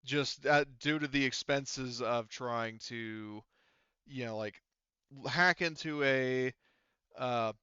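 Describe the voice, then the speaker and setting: A man acting as game master, explaining rules and prices in a casual, halting way as he thinks aloud. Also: mid-range voice, bright, forceful tone, smooth, dry recording, muffled recording. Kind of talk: casual tabletop game narration and rulings.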